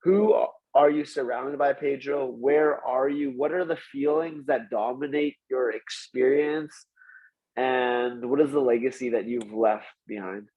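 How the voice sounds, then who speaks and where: A male podcast host asking a guest closing questions and leading him through a slow, soothing visualization. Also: medium pitch, fairly even intonation, narrow, tinny audio.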